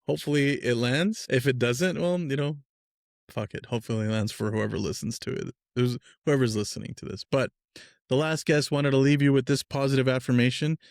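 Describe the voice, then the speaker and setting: A man from Peru hosting a casual podcast interview, relaxed and upbeat, talking loosely with fillers. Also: medium pitch, brisk, gravelly, dry recording, clean studio sound. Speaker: podcast host.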